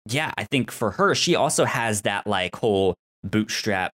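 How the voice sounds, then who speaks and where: A man co-hosting a casual podcast discussion, explaining things haltingly and stuttering on words with repeated syllables. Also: fairly low pitch, lively intonation, a bit bright. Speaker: podcast host.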